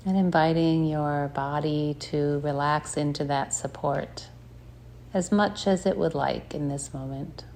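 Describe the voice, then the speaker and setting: A woman leading a guided meditation, speaking softly and gently with long pauses between phrases. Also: deep voice, steady tone, slight background noise. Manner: slow, soft, gentle guidance with long pauses. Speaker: meditation teacher.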